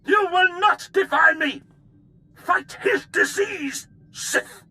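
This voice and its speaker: An actor playing a deranged, tyrannical Imperial commander who rants bombastically and hysterically in a video game. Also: male voice, very high-pitched, takes it slowly, bright, forceful tone, full character voice. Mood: outraged ranting.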